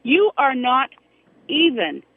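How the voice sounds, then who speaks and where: A woman's voice: high-pitched, very animated, slow, breathy edge, no echo, phone-quality sound. A woman from Alaska calling a radio show on a cell phone, indignant and challenging as she argues. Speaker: caller. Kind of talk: radio call-in argument.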